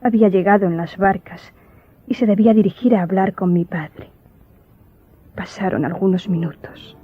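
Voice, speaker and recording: An actress playing a sorrowful young woman recalling childhood horror, telling it gravely and wistfully in a radio drama. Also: medium pitch, fairly even intonation, moderate pace, no room sound, lightly acted. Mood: fearful remembering. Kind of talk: Spanish radio drama acting. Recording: dull lo-fi audio, faint music.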